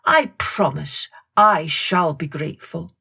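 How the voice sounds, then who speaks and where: Woman as a slow-spoken, courteous frost stranger. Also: sits low, vocal fry, pushed and bright, slightly rough, exaggerated voice. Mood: apologetic. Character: Father Frost's travelling sons, polite wintry male strangers.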